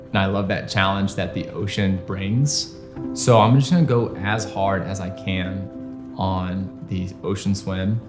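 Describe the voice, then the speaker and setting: Earnest man, fairly low voice, measured documentary interview, echoey room. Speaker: triathlete interviewee. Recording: echoey room under music.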